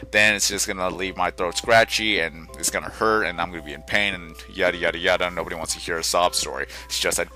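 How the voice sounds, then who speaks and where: A tired young man talks through a game in a rambling, self-correcting way. His voice sits very low yet rings bright and forward, and it stays soft and quiet. Game music plays faintly underneath. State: tired from little sleep. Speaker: gaming channel host. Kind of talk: casual gameplay commentary.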